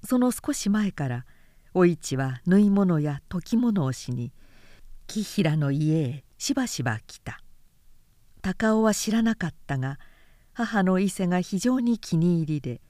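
Woman narrating literary fiction, lowish voice, dry sound. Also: very animated, mellow, dry recording. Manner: measured storytelling delivery. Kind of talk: scripted literary audiobook narration.

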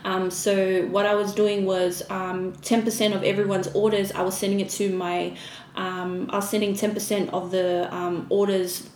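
A woman's voice: mid-range voice, little pitch movement, moderate pace, a little mellow. A woman chatting casually as a podcast guest. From Fiji-born, raised in Australia. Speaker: podcast guest, small business owner. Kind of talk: casual interview chat. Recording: echoey room with background noise.

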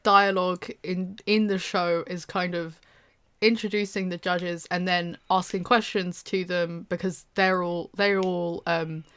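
Smooth-voiced young British woman, chatty. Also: on the low side, flat delivery, a little slow, fairly bright tone. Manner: hesitant, many fillers and restarts. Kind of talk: casual chat.

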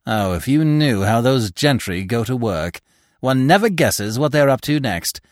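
A man voicing a bustling, irritable police inspector in an audiobook, grumbling and exclaiming briskly. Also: medium pitch, animated, no room sound, studio quality, lightly acted. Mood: impatient, irritated. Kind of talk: audiobook character voice.

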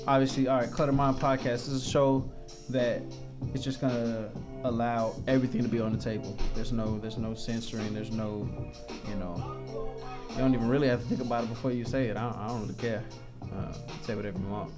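A young man hosts a podcast in an easygoing, jokey way, laughing often and restarting his sentences as he goes. His voice sits at an ordinary middle pitch. Music plays clearly behind him. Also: fairly even intonation, fairly quick. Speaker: podcast host. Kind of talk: casual chat.

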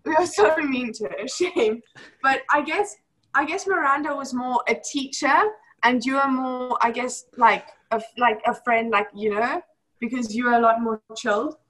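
A woman's voice: high voice, normal speed, fairly bright tone, rough recording. A young woman with a mixed British and South African accent, talking casually over a video call and laughing often. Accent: mix of British and South African. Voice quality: giggly, laughs often, many fillers. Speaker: former homeschool student.

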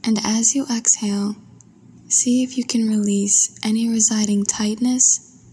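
A woman narrating a guided meditation in a soft, breathy voice, with a soothing, gentle and unhurried manner. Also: fairly high pitch, fairly even intonation. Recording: long washy reverb.

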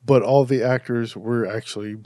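A man's voice: medium pitch, fairly even intonation, moderate pace, mellow, no room sound, studio quality. A man hosting a casual horror movie review podcast, sounding a little nasal and stuffed up from allergies, chatty and rambling with restarts. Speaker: podcast host.

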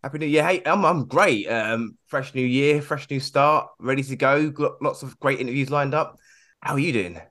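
A man's voice: medium pitch, normal speed, a bit bright. A man hosting a podcast, introducing the show and chatting in an upbeat, casual way. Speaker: podcast host.

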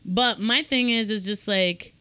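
A woman in her thirties from the Dominican Republic, a realtor talking openly in a casual podcast chat, animated and laughing often. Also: mid-range voice, clear, resonant tone, no room sound. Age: 30s. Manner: animated, laughing, talks in quick bursts. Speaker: realtor guest.

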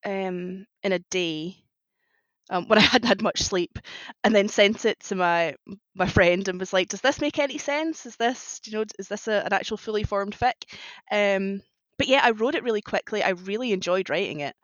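A woman's voice: medium pitch, normal speed, fairly bright tone, no room sound, muffled recording. A woman guest on a podcast talking casually and chattily about her own writing, explaining as she goes. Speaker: podcast guest, fan fiction writer.

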